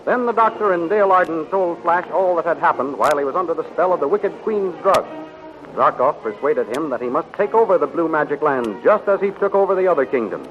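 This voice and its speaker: A man's voice: a little high, animated, a little fast. A man narrating a 1930s radio serial as announcer, declamatory and dramatic. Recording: dull 1930s radio recording, faint music.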